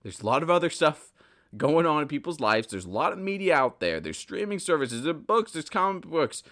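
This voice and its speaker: A man's voice: on the high side, very animated, fairly quick, fairly bright tone. A man in his twenties hosting a podcast, chatting casually and emphatically in a rambling solo monologue, repeating himself, with a slightly stuffy nose. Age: 20s. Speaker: podcast host.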